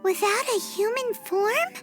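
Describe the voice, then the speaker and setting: A tiny, impatient fairy companion from a video game. The voice is squeaky, childlike and extremely high, with lively swings in pitch. The words come slightly unhurried but eager, over clear background music. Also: female voice. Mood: curious. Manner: refers to herself by name, exclaiming, eager. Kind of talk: video game character acting.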